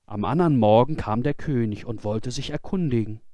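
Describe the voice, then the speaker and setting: A man reading a fairy tale for children as scripted audiobook narration, in an expressive, dramatic storytelling manner. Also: mid-range voice, moderate pace, no echo. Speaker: audiobook reader.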